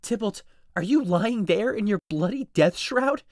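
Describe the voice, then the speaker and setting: A man playing a desperate, grief-stricken young husband in a dramatic audio drama, intense and swinging between hushed menace and anguish. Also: high-pitched, lively intonation, a little slow, no echo, studio quality. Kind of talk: dramatic audio drama acting, modernized Shakespeare.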